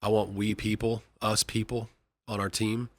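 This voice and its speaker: A man's voice: lowish voice, level tone, moderate pace. A man from Kentucky, an executive, talking casually and earnestly in a podcast interview, often restarting and repeating words. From Kentucky, USA. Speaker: company executive vice president. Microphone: studio podcast mic.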